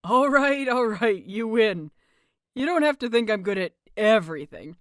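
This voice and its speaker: A woman voicing a playful, excitable young husband in an audio story, chatty, teasing and self-deprecating. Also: on the high side, moderate pace, no echo, studio quality. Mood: playful protest.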